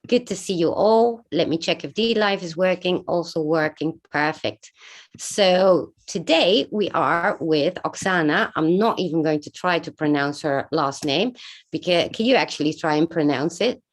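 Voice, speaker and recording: Lower-voiced woman hosting a live stream. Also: normal speed. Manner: chatty, many fillers, greets viewers. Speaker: host of a live online show.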